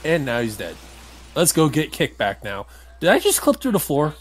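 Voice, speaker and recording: A man's voice: fairly high pitch, wildly swooping pitch, normal speed. A man giving casual, wry let's play commentary as he hosts a playthrough. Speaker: gamer hosting a playthrough.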